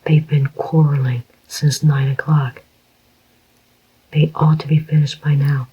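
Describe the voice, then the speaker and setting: A reader whispering the part of a sleepy male night porter, slow and hushed. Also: a little high, little pitch movement, breathy edge, exaggerated voice. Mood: dry. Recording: dull lo-fi recording.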